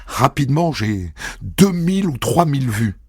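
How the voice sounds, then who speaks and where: A man telling a first-person story as a narrator, in a measured, intimate reading. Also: mid-range voice, sing-song, breathy edge, dry recording. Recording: dry studio voice over soft music.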